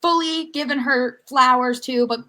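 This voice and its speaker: A woman's voice: high voice, fairly even intonation, moderate pace, pushed and bright. A woman hosting a podcast, chatting casually about sports in an enthusiastic, talkative way. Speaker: podcast host.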